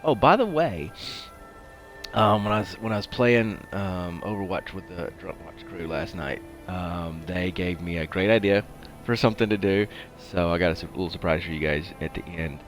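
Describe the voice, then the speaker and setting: A man's voice: low-pitched, moderate pace, soft background music. A man with a congested, sniffly head cold, giving casual, rambling game commentary as the host of a livestream.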